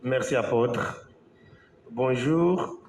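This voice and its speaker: A man from Congo, an engineer more used to English, giving an earnest talk with pauses and restarts that rise when he stresses a point. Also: medium pitch, darkish tone, reverberant, slight background noise. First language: English is his main working language. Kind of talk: slow, halting public talk.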